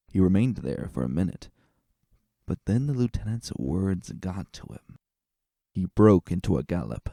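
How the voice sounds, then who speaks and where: Low, mellow male story narrator. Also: vocal fry, clean studio sound. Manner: measured, deliberate, suspenseful. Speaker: narrator of a fan fiction reading. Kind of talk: dramatic scripted narration. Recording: roomy voice, faint music bed. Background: faint music.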